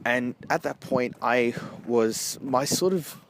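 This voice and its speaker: Hesitant man reviewing, noisy street behind. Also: mid-range voice. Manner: hesitant, halting, often rephrasing. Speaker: amateur film reviewer. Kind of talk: casual rambling movie review. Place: outdoors, walking along a street. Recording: noisy outdoor street with traffic. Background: street and vehicle noise.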